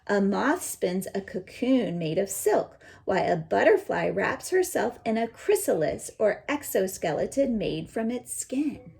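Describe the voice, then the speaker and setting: A woman teacher reading a children's picture book aloud and pausing to explain it in simple words. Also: mid-range voice, vocal fry, a little mellow.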